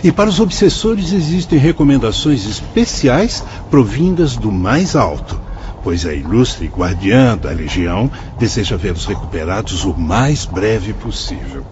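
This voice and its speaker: A wise male spirit guide with a Brazilian Portuguese accent, speaking in measured, patient explanations in a radio drama. His pitch rises and falls in a lively way. The recording sounds dull and muffled. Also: background noise. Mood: patient, instructive. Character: calm, wise adult male spirit instructor.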